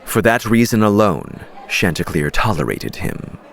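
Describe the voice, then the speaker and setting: Male narrator for fiction audiobook: lower-pitched, lively intonation, storytelling read. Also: a little slow, creaky.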